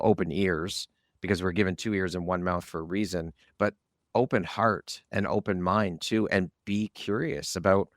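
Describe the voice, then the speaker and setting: A man's voice: deep voice, fairly bright tone, no room sound, clean studio sound. A man hosting a conversational interview podcast, asking questions and sharing his own views. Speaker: podcast host.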